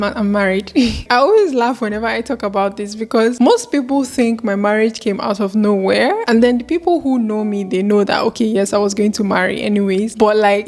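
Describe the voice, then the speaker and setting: A woman's voice: mid-range voice, a little mellow. A young woman from Nigeria talking through a casual question-and-answer vlog, chatty and upbeat, laughing often and bringing up faith. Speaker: vlogger. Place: home in Istanbul.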